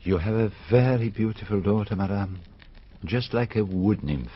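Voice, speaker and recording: An actor playing a courteous, inquisitive middle-aged Belgian detective in a radio drama, with a French-speaking Belgian accent and formal, precise phrasing. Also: male voice, lowish voice, normal speed, breathy edge. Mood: courtly. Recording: dull lo-fi radio recording, faint noise.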